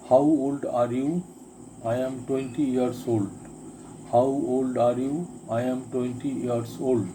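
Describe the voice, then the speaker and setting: A man teaching a spoken lesson, reading each drill sentence twice with deliberate care. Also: medium pitch, fairly even intonation, background noise. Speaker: English teacher. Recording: crickets and insects chirping behind.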